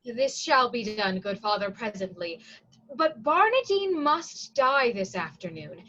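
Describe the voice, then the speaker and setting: Woman as an earnest high-voiced prison provost, lo-fi sound. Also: very animated, measured pace, bright, forceful tone, exaggerated voice. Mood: worried. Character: dutiful, anxious prison provost, plotting earnestly. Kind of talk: staged reading of Shakespearean verse.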